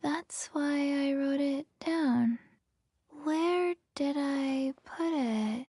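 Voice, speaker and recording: A woman voicing a forgetful, deadpan undead little girl with a soft childlike voice in a video game. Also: high-pitched, no room sound. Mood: flat, forgetful. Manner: slow, flat deadpan.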